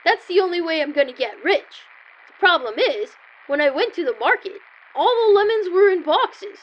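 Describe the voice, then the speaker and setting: A woman's voice: very high voice, lively intonation, normal speed, muffled recording. A woman playing an earnest, naive little boy full of schemes in an old-time style radio comedy sketch. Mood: naive, eager. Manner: earnest, innocent, matter-of-fact.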